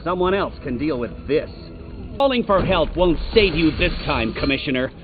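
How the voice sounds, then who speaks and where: Game trickster villain, male actor as a boastful riddle fanatic: highish, lively sneer, muffled capture with faint noise. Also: normal speed, over music, close to an everyday voice. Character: cocky, mocking male riddle-loving supervillain trickster. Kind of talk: video game villain voice acting.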